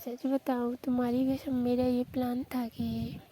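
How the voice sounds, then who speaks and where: A woman's voice: fairly high pitch, level tone, a little slow, mellow. A young woman playing an apologetic, affectionate newly married wife in a casual staged phone-call skit, explaining herself earnestly. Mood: earnest, pleading. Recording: noisy phone call recording.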